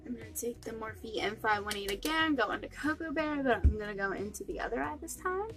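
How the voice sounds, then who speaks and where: A woman's voice: on the high side, lively intonation, a little fast, fairly bright tone, soft background music. A young woman with a cold and a stuffy nose, chatting through a makeup tutorial step by step. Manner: chatty, friendly step-by-step explaining. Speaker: beauty vlogger.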